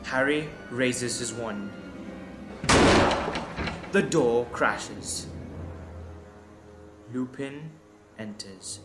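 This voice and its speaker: A man reading scripted dramatic narration in a deliberate, suspenseful manner. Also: medium pitch. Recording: echoey, music underneath. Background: music.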